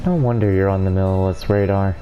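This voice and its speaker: A man's voice: deep voice, fairly even intonation, brisk. A man giving casual commentary on a video game playthrough and reading bits of game text aloud. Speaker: gaming video host. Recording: dull mic, faint music behind. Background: game music.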